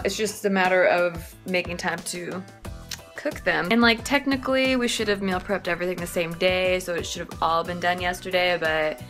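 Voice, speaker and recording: Young woman, bright tone, chatty and irreverent, filming herself on a handheld camera in a home kitchen. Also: medium pitch. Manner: chatty, joking, swears casually. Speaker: vlogger. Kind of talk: casual cooking vlog. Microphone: handheld phone camera at arm's length.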